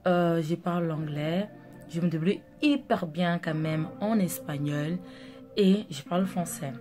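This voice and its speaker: A woman's voice: on the low side, unhurried, warm tone. A young woman from Angola whose first languages are Dutch and Portuguese, chatting casually and rambling with many fillers as a makeup artist vlogger. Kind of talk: casual chat to camera. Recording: music playing under the voice.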